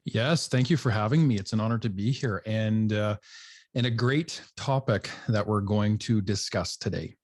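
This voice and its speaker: A middle-aged man from northern Alberta, a retired police officer, talking calmly and reflectively as a podcast guest. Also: on the low side, normal speed. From northern Alberta, Canada. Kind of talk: casual podcast chat.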